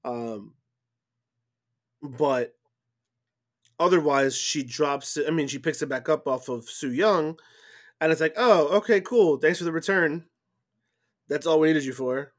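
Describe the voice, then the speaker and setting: A man in relaxed podcast chat, earnest and a little halting. He repeats himself as he works out his thoughts, and his voice sits a touch higher than most men's. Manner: earnest, hesitant, repeats words. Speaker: podcast co-host. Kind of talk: casual chat.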